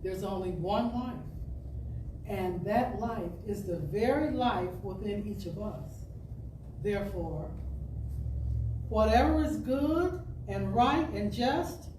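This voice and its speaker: A woman giving an expressive reading of an inspirational talk, like a storyteller, with deliberate phrasing and emphatic pauses. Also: fairly high pitch. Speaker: storyteller and speaker at a spiritual center. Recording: distant roomy pickup with background noise.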